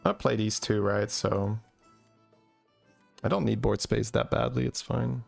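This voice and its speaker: A Belgian man with a Flemish accent, a Dutch speaker, talking through a live game. His voice is low and heavily gravelly, with faint game music behind him. He reasons out loud and keeps asking himself "right?". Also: steady tone, a little slow. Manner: thinks aloud, tags plans with "right?". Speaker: game streamer. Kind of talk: live gameplay commentary.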